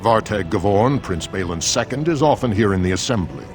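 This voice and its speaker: An actor voicing a weary, exasperated dwarf steward with a formal, commanding manner in a fantasy game. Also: male voice, on the low side, animated, brisk, over music, lightly acted. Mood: weary, apologetic. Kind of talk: dramatic fantasy game acting.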